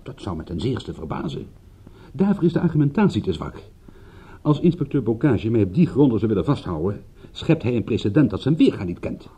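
Levendige, mondige Britse privédetective. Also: male voice, fairly low pitch, very animated, a little fast, mellow, breathy edge. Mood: cool, arguing. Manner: argumentative, stammering starts, wordy formal retorts. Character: indignant, glib British private detective. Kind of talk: radio drama acting.

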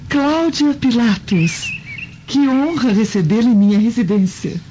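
A woman playing a gentle, proper, hesitant Roman wife and mother in a radio drama. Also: fairly high pitch, lively intonation, measured pace, background noise. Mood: polite, hesitant. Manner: soft, proper, tender. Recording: muffled lo-fi, faint music.

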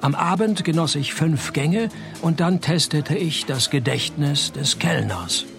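An actor playing a jaded private detective in a radio play, with a dry, sardonic, deadpan delivery. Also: male voice, fairly high pitch, measured pace, vocal fry, music in the background. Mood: dry narration. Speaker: detective narrator and lead. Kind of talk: radio play narration and dialogue.

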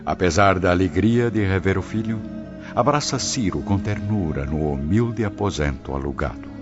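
Very deep-voiced male radio drama narrator. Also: moderate pace. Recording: muffled lo-fi audio with clear music behind.

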